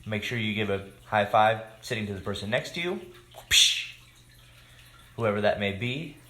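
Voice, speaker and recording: A man reading a picture book aloud to young children, expressive, with casual asides to his listeners. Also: lowish voice, moderate pace. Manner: expressive, simple addresses to children. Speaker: children's story time reader. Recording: echoey room.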